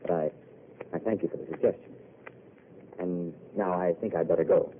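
A man playing a gentle, rueful wandering intellectual in a 1940s radio play, courtly and soft-spoken. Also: low voice, steady tone, normal speed, slight background noise, close to an everyday voice. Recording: tinny old radio broadcast.

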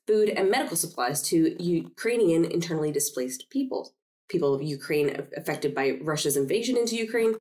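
A woman's voice: sits low, mellow. A woman hosting a podcast interview, introducing her guest and encouraging her with frequent listening sounds. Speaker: podcast host.

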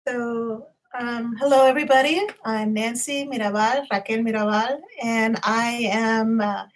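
A woman professor from Los Angeles, a podcast guest who says she is tired, talking in a relaxed, chatty way. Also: on the high side, unhurried. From Southeast Los Angeles, California.

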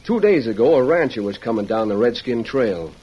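A folksy American frontier sheriff, played by a male radio actor, steady and plainspoken with a rustic drawl. The sound is a dull vintage radio recording with clear background noise. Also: medium pitch, fairly quick, natural voice. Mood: matter-of-fact. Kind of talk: old-time radio Western acting.

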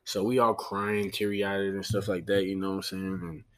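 A man's voice: low voice, flat delivery, normal speed, fairly bright tone. A young man from New Orleans with a light New Orleans Creole accent, talking casually as a podcast guest in loose, rambling sentences full of restarts and fillers. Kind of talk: casual conversation.

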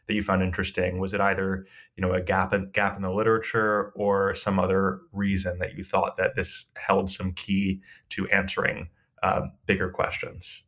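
A man's voice: sits low, completely flat delivery, moderate pace, fairly bright tone. A man hosting a conversational interview, putting his questions in a tentative, roundabout way. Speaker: podcast interviewer, host.

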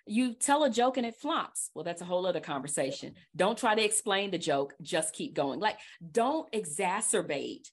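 A woman's voice: fairly low pitch, moderate pace, hardly any pauses. Emphatic woman host giving speaking tips. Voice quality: trained projecting voice. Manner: animated, emphatic, repeats key points. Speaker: podcast host. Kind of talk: casual advice chat.

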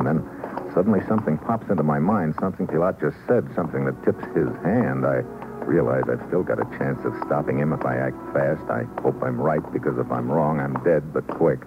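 An actor playing a wry, hard-boiled American agent in a radio thriller, terse and slangy, on an old recording. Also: male voice, a bass voice, fairly quick, over music. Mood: urgent, tense. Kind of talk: old-time radio thriller, first-person narration and dialogue. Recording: muffled, noisy vintage radio recording.

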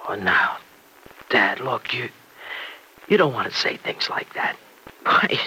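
An actor playing an anxious, devoted young son-in-law, speaking earnestly and haltingly in an old-time radio soap opera. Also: male voice, mid-range voice, lively intonation, sharp tone, slightly rough, exaggerated voice. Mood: anxious, pleading. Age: young adult. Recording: noisy vintage radio drama recording.

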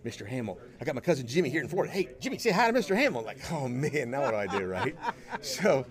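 A man's voice: mid-range voice, big pitch swings, fast. A middle-aged man telling casual anecdotes as an interview guest, joking and acting out what people said. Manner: jokey, acts out quoted dialogue. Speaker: interview guest, costumed charity volunteer. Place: convention booth in a media room. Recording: busy convention crowd behind him.

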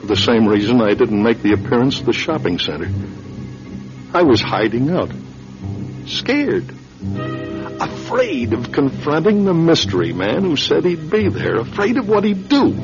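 A man playing a guilt-ridden, middle-aged American political candidate in a classic radio drama, speaking in a halting, confessional way. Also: medium pitch, sing-song, music in the background. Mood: confessional, haunted. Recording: dull lo-fi radio recording.

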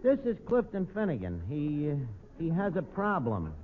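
A cocky New tavern manager in vintage radio comedy. His fairly high voice fumbles grand words and slang alike. The recording is thin and phone-like. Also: huge pitch swings, normal speed, a little hiss or hum. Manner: mock-pompous, stumbling, slangy, cocky. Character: brash, malaprop-prone New York tavern manager. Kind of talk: 1940s radio comedy acting.

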